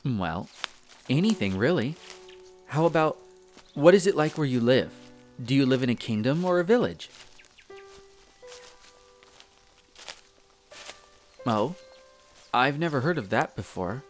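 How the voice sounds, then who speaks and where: A man's voice: medium pitch, big pitch swings, normal speed, long pauses, faint music behind, close to an everyday voice. A man playing an earnest, bookish young elf apprentice in a roleplay audio drama, chatty and a little hesitant, easily flustered. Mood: gentle, curious. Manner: hesitant, chatty, explains eagerly. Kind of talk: scripted roleplay audio drama addressed to the listener.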